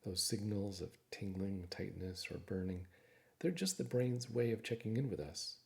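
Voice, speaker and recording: A man narrating a guided meditation in a calm, soothing, measured way, with frequent pauses. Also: on the low side, creaky.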